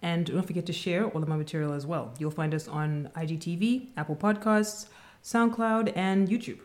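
A woman co-hosting a casual podcast chat, speaking in a calm, measured and reserved way. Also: on the low side. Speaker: podcast co-host.

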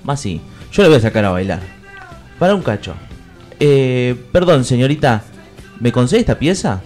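An actor with an Argentine accent playing a crude, lovestruck fairy-tale prince in a comic radio play, mock-courtly and slipping into street slang. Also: male voice, mid-range voice, big pitch swings, moderate pace, a little mellow, soft background music, lightly acted. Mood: exasperated, eager. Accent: Argentine, Rioplatense. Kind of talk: comic radio theatre parody.

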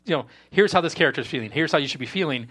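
A man giving a casual interview as a guest writer, halting and doubling back on his words. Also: a little high, animated, a bit bright, slightly rough. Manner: halting, repeats words and backtracks. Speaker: guest screenwriter.

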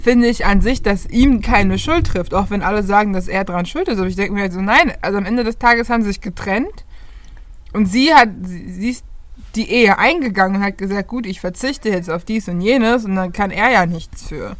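A woman hosting a casual podcast chat, quick to argue her own opinions. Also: medium pitch, dry recording. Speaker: podcast host.

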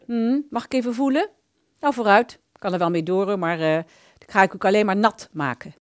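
Woman acting as matter-of-fact female hairdresser's assistant, brisk, medium pitch, dry recording, radio drama. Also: no echo, natural voice. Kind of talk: Dutch amateur radio play dialogue.

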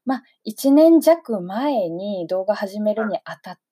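A woman's voice: mid-range voice, lively intonation, slow, warm tone, husky. A woman hosting a chatty local radio interview, speaking politely and keenly and showing appreciation for her guest.

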